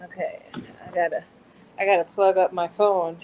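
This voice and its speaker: A woman's voice: lowish voice, level tone, moderate pace, lo-fi sound, slight background noise. A woman co-hosting a casual book discussion in a playful, slangy, joking way. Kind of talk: casual book club chat, some synopsis reading.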